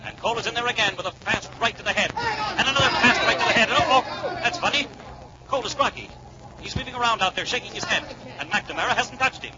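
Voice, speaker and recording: An excited 1940s radio fight announcer, calling blow by blow in a high, fast voice. He is dramatic and repeats himself for emphasis. The old recording is muffled and noisy, with a loud crowd roaring behind him. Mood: tense, puzzled. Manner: rapid-fire, dramatic, emphatic repetition. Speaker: ringside sports announcer.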